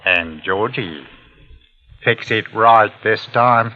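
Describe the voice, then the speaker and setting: An actor playing a scheming, wheedling working-class crook in an old-time radio drama, with a sly, coaxing manner. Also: male voice, on the low side, slow. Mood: quietly menacing. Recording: muffled vintage radio recording.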